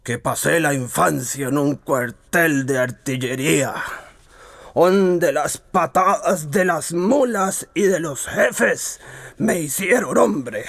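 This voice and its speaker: An actor playing a boastful, bitter, legless blind beggar in a radio drama, declaiming in a gravelly voice. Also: male voice, fairly high pitch, fairly bright tone, dry recording, heavily acted. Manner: blustering, drawn-out declamation.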